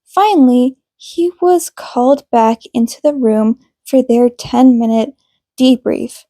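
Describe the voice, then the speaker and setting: A woman's voice: a little high, slow pace, mellow, dry recording, studio quality. A woman reading scripted fiction aloud as the narrator of an amateur audiobook. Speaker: podfic reader.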